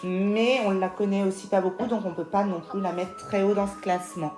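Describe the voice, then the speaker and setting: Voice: mid-range voice, steady tone, a little fast, darkish tone. Co-host reading out rankings plainly, echoey room, faint music. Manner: matter-of-fact, reads out rankings. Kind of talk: casual scripted chat.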